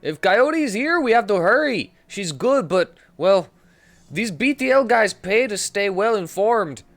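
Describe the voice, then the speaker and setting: A man's voice: sits high, very animated, a little slow, fairly bright tone, pitched above the performer's own voice, heavily acted. A man doing an earnest companion in a hammy accent. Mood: urgent worry. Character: worried young male companion with put-on accent.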